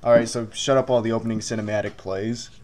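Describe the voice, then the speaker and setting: A man gives casual video game commentary among friends at an ordinary middling pitch. He jokes around and swears freely, keeping it loose and conversational. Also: fairly even intonation, fast talker, a little mellow, slight background noise. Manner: sweary, joking. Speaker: let's play commentator.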